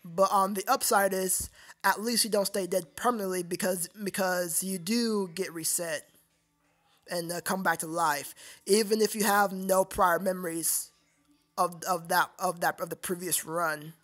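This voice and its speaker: A livestream host chatting casually with viewers in a halting, repetitive way, often addressing them as sir. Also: medium pitch, fairly even intonation, fairly bright tone.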